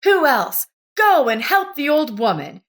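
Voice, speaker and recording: A woman's voice: high-pitched, very animated, measured pace, pitched above the performer's own voice. A woman narrator voicing an older woman in a story, motherly but bossy, plain-spoken and insistent. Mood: urgent, bossy.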